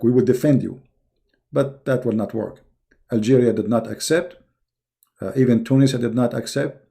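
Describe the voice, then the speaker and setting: Dark-voiced Arabic-accented man, gravelly edge. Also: medium pitch. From Middle East. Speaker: live-stream host and commentator. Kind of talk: informal political commentary, unscripted monologue.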